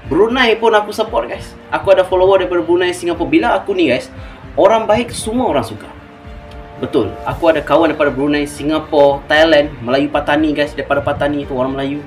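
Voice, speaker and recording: A man's voice: quick pace, faint music behind. Emphatic Malaysian man, bursts and asides. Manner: emphatic, switches between loud bursts and low trailing asides. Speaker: football reaction YouTuber. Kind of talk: casual chat to viewers.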